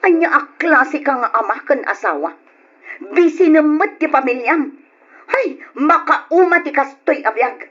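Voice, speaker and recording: A woman's voice: sits high, lively intonation, unhurried, a bit bright, lo-fi sound. A woman with an Ilocano accent playing a poor, worn-down, pleading mother in an old radio drama. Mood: exasperated.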